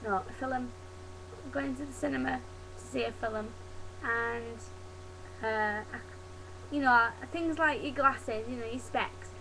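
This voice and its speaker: A young woman from Yorkshire with a softened Yorkshire accent, chatting casually to her webcam. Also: on the high side, measured pace, a little hiss or hum, rough recording. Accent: Yorkshire, softened toward standard British English. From Yorkshire, England. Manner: chatty, demonstrates dialect words, laughs at herself. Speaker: vlogger. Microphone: webcam mic.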